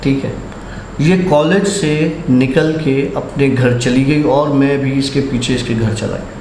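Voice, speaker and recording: A man telling a horror story in a casual, unhurried, conversational way, with dramatic pauses. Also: mid-range voice, darkish tone. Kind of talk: casual first-person ghost storytelling. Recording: roomy echo, faint background noise.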